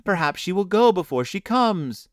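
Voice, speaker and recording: A man voicing a sly, superior village girl who talks in slow, measured riddles in a storybook reading. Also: high-pitched, very animated, no echo, clean studio sound, voice pushed up. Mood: aloof, teasing. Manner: slow, measured, mock-wise.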